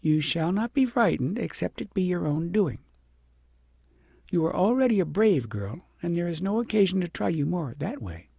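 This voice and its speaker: A grave, loving wise woman , voiced by a man teaches patiently in a storybook. The voice is lifted high, with a soft mellow tone and some husky grain. The delivery is measured and earnest. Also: sing-song, no echo, studio quality, exaggerated voice. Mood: earnest, tender. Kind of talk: audiobook character voice.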